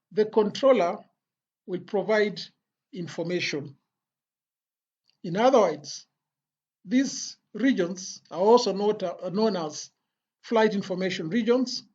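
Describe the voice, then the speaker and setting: An instructor giving an explanatory lecture in a deliberate, phrase-by-phrase way with pauses. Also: medium pitch, darkish tone, slightly rough. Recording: dull, lo-fi voice recording.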